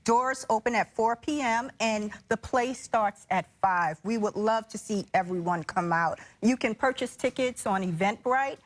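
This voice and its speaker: A woman's voice: a little high, a little slow, few pauses. A woman speaking as a playwright and producer, earnestly explaining her work in an interview.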